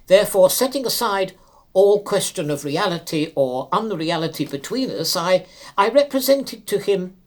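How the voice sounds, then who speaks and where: Man reading a literary story, expressively. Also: high voice. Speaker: narrator reading a story aloud. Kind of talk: dramatic literary audiobook narration.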